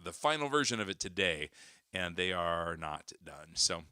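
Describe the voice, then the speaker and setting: A man with a hoarse, recovering voice, chatting casually to viewers on his livestream with plenty of fillers and restarts. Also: low voice, sing-song, normal speed, bright, forceful tone, dry recording, clean studio sound. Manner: rambling, many fillers and restarts. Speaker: worship singer hosting a livestream.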